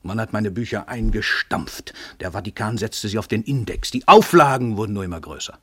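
Radio play casting: a cynical, domineering celebrity writer-killer, emphatic orator, slightly rough tone, close dry sound. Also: male voice, on the low side, animated, brisk, sharp tone, dry recording, heavily acted. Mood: imperious, boastful. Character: world-famous male crime writer, arrogant, cynical confessed murderer. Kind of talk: theatrical German radio drama monologue.

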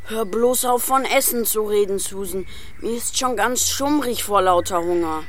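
A woman's voice: mid-range voice, normal speed, a little hiss or hum, lightly acted. A woman playing a sensible child, one of four adventuring siblings, in a children's audio drama. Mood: curious, weary.

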